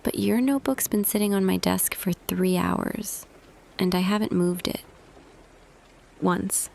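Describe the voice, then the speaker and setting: Woman doing a soft half-whispery boss girlfriend, teasing and tender, real slow, bit of vocal fry, asmr roleplay. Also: mid-range voice. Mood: tender, hesitant. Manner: slow, hushed, deliberate, pausing. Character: tender female office boss, intimate, quietly smitten, teasing. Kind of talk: ASMR roleplay audio, intimate scripted monologue.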